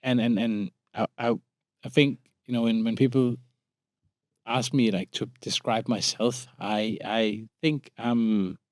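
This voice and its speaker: A man's voice: medium pitch, fairly even intonation, slow pace, a little mellow, dry recording, studio quality. A man in his late thirties with a Danish accent, talking informally as a podcast guest and often repeating words as he forms his thoughts. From Denmark. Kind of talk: casual conversational interview.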